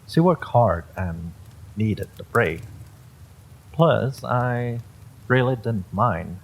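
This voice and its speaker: A man's voice: mid-range voice, lively intonation, extremely slow, low-quality recording. A man reading a first-person story aloud as a dramatic narrator. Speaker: story reader.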